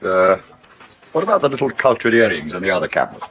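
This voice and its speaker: A man's voice: low-pitched, big pitch swings, quick pace, fairly bright tone. A man playing an urbane, wry, worldly middle-aged rogue in a radio soap, with an educated English accent and suave, dry irony. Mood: dryly amused. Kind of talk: naturalistic radio soap acting.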